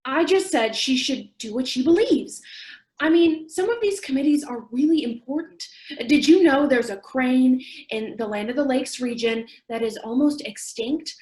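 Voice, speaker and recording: A high voice in an echoey room: a woman acting an earnest zoo employee in a stage drama. She explains and defends herself. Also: lively intonation, moderate pace, darkish tone. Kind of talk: staged play reading.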